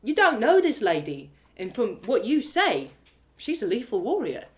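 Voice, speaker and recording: Village cobbler, male, played by a woman, plain-spoken, kindly and protective, animated fantasy audio drama read. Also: fairly high pitch, moderate pace. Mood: worried, cautioning. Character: kindly gruff working man, cobbler, worried friend.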